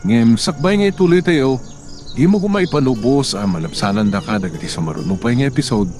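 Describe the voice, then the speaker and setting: Animated male radio drama announcer. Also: mid-range voice, fairly quick. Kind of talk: scripted promotional narration.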